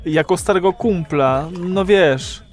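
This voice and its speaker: Casting: timid, evasive everyman for audio drama, high slow voice, music behind him. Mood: embarrassed. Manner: hesitant, evasive, awkward. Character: timid, embarrassed married man seeking a pal's advice.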